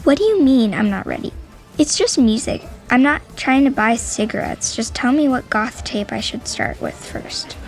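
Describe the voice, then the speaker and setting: A woman's voice: fairly high pitch, lively intonation, moderate pace, faint music behind. A woman voicing a moody teenage girl who is a goth outsider, talking in a blunt, earnest, defensive way. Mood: pleading. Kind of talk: audio drama acting.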